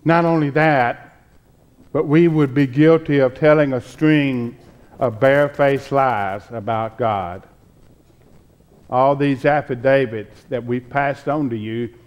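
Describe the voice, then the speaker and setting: Slow-paced man in his sixties, preaching. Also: mid-range voice. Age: 60s. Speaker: pastor. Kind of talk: measured pulpit scripture reading. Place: church sanctuary, at the pulpit.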